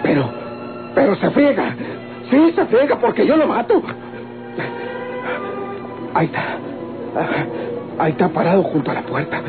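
Radionovela role: violent, suspicious Mexican man, gruff and insulting, high register, thin vintage sound. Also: huge pitch swings, unhurried, pauses often, heavily acted. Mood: uneasy, menacing. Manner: gruff, threatening, insulting, rustic speech. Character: paranoid, violent, ill-tempered man with a pistol. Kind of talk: golden-age Mexican radionovela acting. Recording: old tinny radio recording with music.

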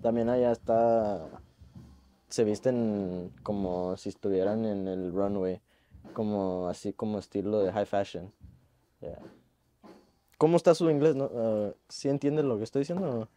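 A man's voice: on the low side, measured pace, dark tone. A young man hosting, asking curious questions and mixing English and Spanish casually. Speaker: shop host. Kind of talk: casual interview. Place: indoor shop lounge.

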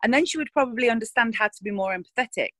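A woman's voice: a little high, expressive, very fast, fairly bright tone, no room sound, studio quality. An actress talking frankly in a casual interview, thinking aloud and restarting her sentences.

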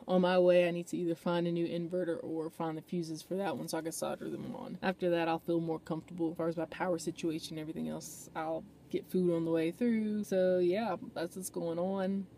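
A young woman talks casually to her camera about her road trip, relaxed and rambling. Her voice sits low and mellow with little rise and fall. Faint vehicle noise hums under the built-in camera mic. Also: a little fast, gravelly, few pauses. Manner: relaxed, chatty, rambling with fillers. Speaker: vlogger. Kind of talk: casual travel vlog. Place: inside a parked van, later outdoors by the road.

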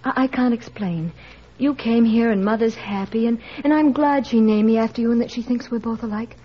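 High-voiced earnest teenage niece. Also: female voice, a little fast, husky. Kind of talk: 1940s radio acting. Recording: muffled, hissy 1940s radio recording.

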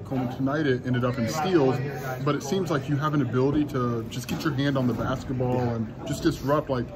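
A male reporter with a mid-pitched voice asks run-on, conversational questions after the game. Crowd chatter fills the hallway around him. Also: steady tone, a little fast. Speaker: sports reporter. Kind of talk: press questions. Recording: crowd noise in arena hallway.

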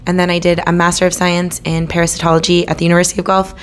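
A young woman from Ontario answering interview questions, listing her degrees in an even, measured way. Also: fairly low pitch, slight background noise. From Ontario, Canada.

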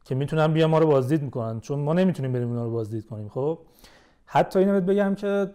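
A man talks as a podcast guest in an informal, explanatory way. His tone is mellow and soft-edged, pitched a little higher than most men. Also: lively intonation, clear, resonant tone. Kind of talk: casual explanatory chat.

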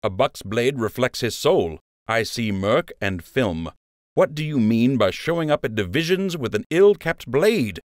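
A man's voice: mid-range voice, very animated, measured pace, no echo, clean studio sound, pitched above the performer's own voice. A man voicing an angry, scornful rabbit officer in an audiobook, stern and sarcastic as he harangues. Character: angry, scornful rabbit lieutenant berating a young soldier.

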